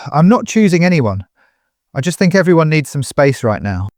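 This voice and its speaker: An actor playing a torn, peacemaking son in an audio drama, hesitant, placating and helpless. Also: male voice, on the high side, big pitch swings, normal speed, a little mellow, dry recording, clean studio sound.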